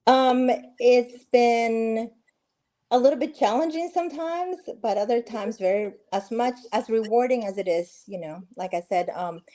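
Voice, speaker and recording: A woman's voice: on the high side, a little slow, mellow. A middle-aged woman from Peru, a guest on a video call interview, talking in a friendly way with many fillers and restarts. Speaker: guest, real estate agent. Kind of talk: casual interview conversation.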